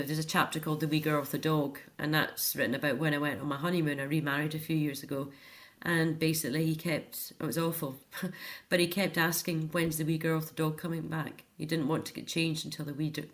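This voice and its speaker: A woman's voice: deep voice, level tone, fairly quick. A middle-aged woman with a Scottish accent, chatting earnestly and telling anecdotes as a guest in an informal interview. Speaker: interview guest, author and care home activities volunteer or worker. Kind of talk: informal radio interview chat.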